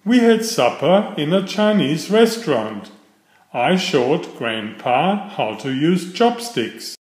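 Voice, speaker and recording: A middle-aged man reading aloud to young children in a simple storytelling style, with clearly phrased delivery. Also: fairly high pitch, sing-song, slow. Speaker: children's book reader. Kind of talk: read-aloud bedtime storytelling. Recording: roomy, echoey voice recording.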